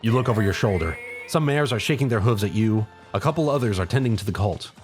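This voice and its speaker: Male narrator, dramatic storytelling, animated intonation, second-person fiction, faint background music. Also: lowish voice, fast.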